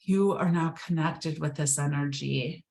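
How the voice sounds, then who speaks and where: A woman leading a guided meditation, speaking slowly and soothingly, sometimes dropping to a whisper. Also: low-pitched, gravelly, a little mellow. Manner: slow, soothing, drifts into whispers.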